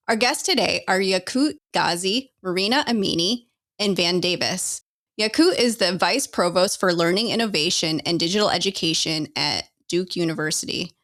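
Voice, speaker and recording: A woman's voice: fairly low pitch, fairly bright tone. A woman co-hosting an education podcast, reading guest introductions steadily. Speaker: podcast co-host and moderator. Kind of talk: scripted introduction.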